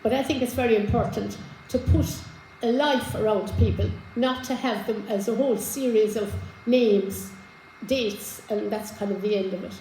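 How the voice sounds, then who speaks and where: A hoarse woman giving an informal genealogy talk to a live audience, chatty, with many fillers and restarts. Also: on the high side, normal speed, mellow, echoey room, a little hiss or hum, rough recording. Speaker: genealogy speaker. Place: community hall with an audience. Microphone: handheld microphone.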